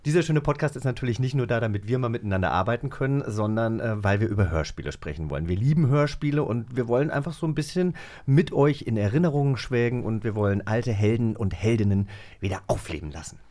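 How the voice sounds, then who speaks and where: A man's voice: medium pitch, lively intonation, fast, a little mellow. A man in his forties chatting casually and enthusiastically as a podcast co-host. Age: 40s.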